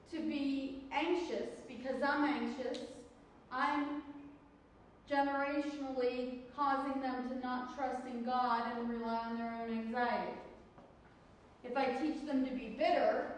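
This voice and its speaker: Echoey, high-pitched woman teaching a class. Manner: didactic, emphatic, frequent rhetorical questions and check-ins. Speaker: counseling course instructor. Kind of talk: classroom lecture with questions to students. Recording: very echoey hall, distant mic, faint noise.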